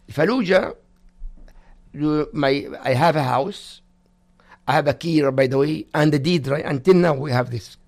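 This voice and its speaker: Older Gaza-born man, halting broken English. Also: a little high, a little mellow. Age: 60s. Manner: halting non-native English with broken grammar. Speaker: guest. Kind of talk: personal testimony in an interview.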